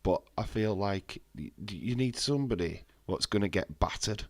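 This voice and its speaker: A man's voice: lowish voice, expressive, moderate pace, darkish tone, dry recording. A man with a head cold, chatting casually and bluntly on a live stream, swearing freely and answering viewers' messages. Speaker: wrestling watch-along streamer.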